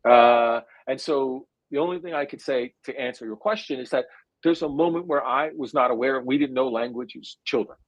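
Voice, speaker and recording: A man's voice: medium pitch, dry recording, lo-fi sound. A man in his forties with a New Jersey accent, thinking aloud as a guest scientist in an interview, with lots of "uh" fillers. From India. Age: 40s. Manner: thoughtful, hesitant, full of drawn-out "uh" fillers. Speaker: guest neuroscientist. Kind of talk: conversational interview answer.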